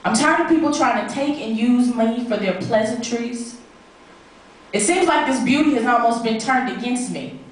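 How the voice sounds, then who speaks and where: A woman's voice: fairly high pitch, moderate pace. A woman performing spoken word poetry live, with an emphatic, dramatic, rhythmic delivery. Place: live stage performance in an echoey room. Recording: very echoey room, distant mic.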